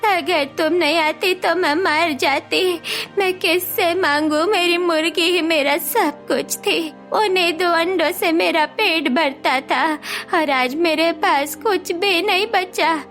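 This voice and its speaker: A woman playing a poor, tearful old village woman who pleads in a drawn-out, plaintive way in an animated moral story. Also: very high voice, full character voice. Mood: desperate pleading. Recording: music behind the voice.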